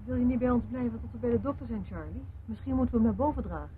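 Actress as quick-talking, practical woman. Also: fairly high pitch, a little hiss or hum, close to an everyday voice. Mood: gently teasing. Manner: matter-of-fact, quietly directing. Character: calm, practical woman, gently teasing. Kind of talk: radio drama acting. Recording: muffled phone-like old radio play.

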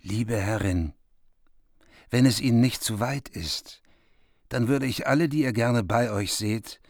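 An actor playing a gracious, affectionate king in a radio play, speaking gently and courteously. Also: medium pitch, unhurried, gravelly, no room sound, studio quality. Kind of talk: German radio play acting.

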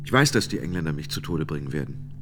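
An actor playing a grave middle-aged French knight giving measured, formal witness testimony in a radio drama. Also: male voice, very deep voice, sing-song. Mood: intense, quoting. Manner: measured, deliberate, formal phrasing with pauses. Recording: long reverberant hall, music underneath. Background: music.

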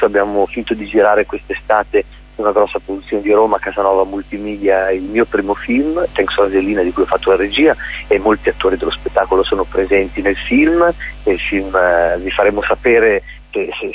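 Male playwright, radio interview guest. Rough, husky tone, explanatory delivery with hesitant pauses, slightly dull sound. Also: lowish voice.

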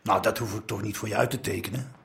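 An actor playing a sly, insinuating crooked policeman with a gravelly voice in a radio drama. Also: lowish voice, fairly even intonation, quick pace, fairly bright tone.

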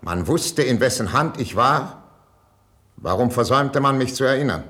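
A man's voice: mid-range voice, expressive, normal speed, fairly bright tone, close to an everyday voice. An actor playing a bitter, wounded ageing king in a classical 1950s radio drama, declamatory and imperious. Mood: imperious, alarmed. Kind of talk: classical 1950s German stage-style radio acting.

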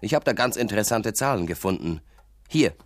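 An actor playing an eager, self-satisfied boxer who plays amateur sleuth in a comic radio play. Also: male voice, fairly low pitch, expressive, a little fast, no room sound, studio quality, close to an everyday voice. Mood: eager, smug.

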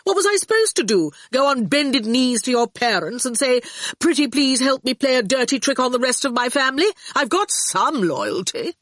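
A woman's voice: sits high, big pitch swings, moderate pace, no echo, studio quality, pitched above the performer's own voice. A woman reading an audiobook, voicing a haughty, mocking young male sorcerer in a raised, sarcastic voice.

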